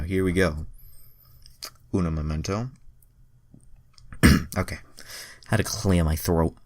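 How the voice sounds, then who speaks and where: A man's voice: deep voice, no echo. A man giving an amateur dramatic reading of fan fiction, breaking off for self-mocking asides.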